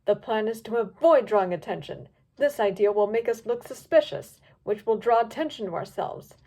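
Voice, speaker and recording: A woman's voice: medium pitch, expressive, normal speed, dark tone. Woman doing a snooty bossy pony witch, sighs and snaps a lot. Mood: stern. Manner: imperious, exasperated, clipped. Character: stern, haughty female pony sorceress.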